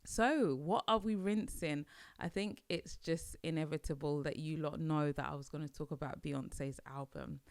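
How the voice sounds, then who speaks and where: A woman's voice: deep voice, normal speed, dry recording. A young adult woman from Nigeria hosting a podcast, chatting casually and musing aloud with hesitant restarts. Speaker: podcast host. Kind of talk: casual solo podcast chat.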